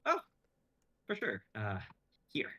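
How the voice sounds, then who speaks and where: A man reading an awkward, smitten young man in a play, hiding his excitement. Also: on the low side, takes it slowly, full character voice. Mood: awkward, shy.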